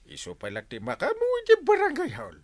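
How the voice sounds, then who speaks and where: An actor with an Ilocano accent playing an easygoing village captain who laughs often in a radio drama. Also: male voice, sits high, wildly swooping pitch, fairly quick, a little mellow, no room sound, heavily acted. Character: jovial middle-aged male village captain. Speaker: barangay captain.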